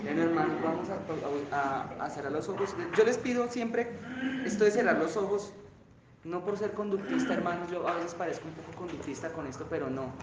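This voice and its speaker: A man's voice: on the high side, fairly quick, background noise. A man preaching a sermon, then leading the church in emphatic, fervent prayer. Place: church hall. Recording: very echoey church hall with guitar.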